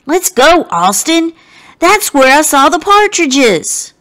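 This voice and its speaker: Woman as a plucky little frontier boy, high-pitched. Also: very animated, slow, a bit bright, heavily acted. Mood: plucky, urging. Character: plucky, eager seven-year-old frontier boy.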